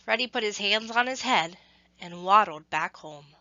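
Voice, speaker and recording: A woman reading a scripted story to children, with expressive, measured phrasing and emphasis on action words. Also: medium pitch, no echo, dull audio.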